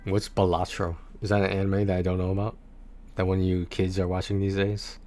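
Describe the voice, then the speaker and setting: A man's voice: sits low, monotone, a little fast, warm tone, soft background music. A man livestreaming about video games in a casual, rambling, conversational way, speaking to his viewers on a close mic.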